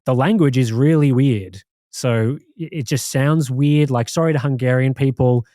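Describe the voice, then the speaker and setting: An Australian man co-hosting a podcast, recounting things in a rambling, relaxed way with many fillers. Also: medium pitch, normal speed, no room sound, clean studio sound. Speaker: podcast co-host. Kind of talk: casual chat.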